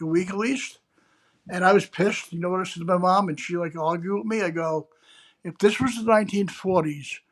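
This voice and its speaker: A chatty man co-hosts a livestream and keeps checking in with his partner with a quick "right?". His voice is high for a man. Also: low-quality recording. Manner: chatty, often ends lines with "right?". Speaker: co-host. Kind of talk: casual livestream chat.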